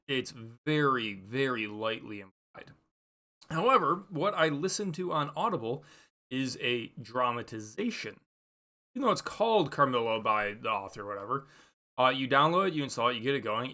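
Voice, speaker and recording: A man with a very bright, forceful voice at a middling pitch talks through a casual book review. He rambles and halts as he thinks aloud, stating opinions and then qualifying them.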